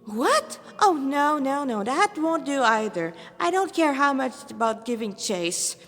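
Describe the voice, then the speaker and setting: A woman's voice: high voice, sing-song, a little slow, fairly bright tone, in a large hall, voice pushed up, full character voice. A woman voicing a flamboyant, mocking male demon lieutenant in a story, taunting theatrically and condescendingly. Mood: dismissive.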